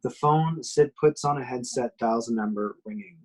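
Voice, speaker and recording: Man, medium pitch, reads stage action for a horror script table read, dramatic but amateur delivery. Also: normal speed, rough recording. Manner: dramatic descriptive reading with occasional stumbles. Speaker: action description reader in a script table reading.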